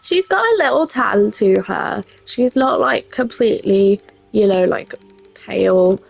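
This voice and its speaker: A woman's voice: medium pitch, animated, a little slow, creaky, soft background music, low-quality recording. A young woman with a head cold and a congested voice, chatting casually and rambling a little while she makes a game character. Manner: chatty, self-deprecating, rambling with fillers. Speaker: gaming creator. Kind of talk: casual gameplay commentary.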